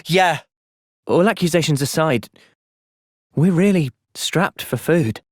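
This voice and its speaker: A man playing an earnest, gentle, resolute young soldier in video game cutscenes. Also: on the high side, slow pace, no room sound, clean studio sound. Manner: earnest, thoughtful. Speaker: voice actor.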